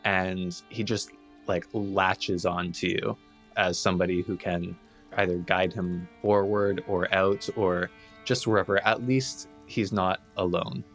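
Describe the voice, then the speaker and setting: Low-voiced man chatting through a game. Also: steady tone, measured pace, a bit bright. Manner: rambling, peppered with "like". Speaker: tabletop game player. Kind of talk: casual improvised roleplay chat. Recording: music playing behind the voice. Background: clear background music.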